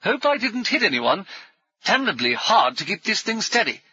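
A man's voice: high voice, very animated, normal speed, pushed and bright, slightly rough, no echo, muffled recording, voice pushed up, full character voice. A man voicing a reckless, exuberant adventurer hero with bluff old-fashioned speech in an audiobook. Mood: hearty urgency. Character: boisterous golden-haired adventurer hero, reckless, madcap.

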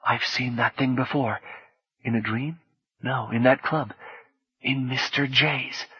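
A man voicing an impatient, wary woman in a low-key murmur in an audiobook. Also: fairly even intonation, slow pace, breathy edge, dull audio. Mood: startled, wondering.

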